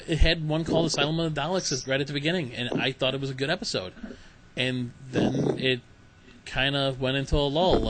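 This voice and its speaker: A man hosting a podcast, chatting eagerly as a fan. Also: mid-range voice. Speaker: podcast host. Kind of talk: casual chat. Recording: clear steady background hiss.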